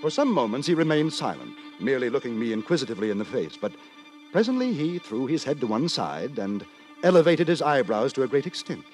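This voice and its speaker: An actor playing an earnest, moralizing gentleman writer who narrates in florid, theatrical style in a 1950s radio drama. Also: medium pitch, big pitch swings, brisk, lightly acted, low-quality recording. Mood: bemused narrating. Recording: 1950s radio broadcast with music.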